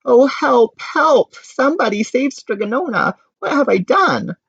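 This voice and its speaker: A man voicing a flustered, eager young village woman who shouts, wails and pleads in a storybook. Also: very high-pitched, big pitch swings, measured pace, creaky, slightly rough, no room sound, highs cut off, pitched above the performer's own voice, full character voice. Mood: distraught pleading.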